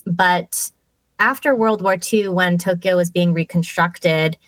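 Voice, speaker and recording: Guest woman, chatty, detailed storyteller, lower voice, dry close sound. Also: slow pace, dry recording. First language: English. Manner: chatty, detailed, with light laughs and fillers. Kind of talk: casual storytelling in an interview.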